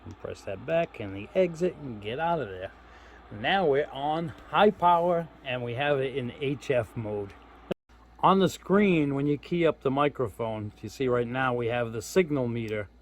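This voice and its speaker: A man's voice: medium pitch, lively intonation, moderate pace, slight background noise. A man giving a casual hands-on product demo, explaining step by step in an informal, folksy way. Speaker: host of a radio gear review channel.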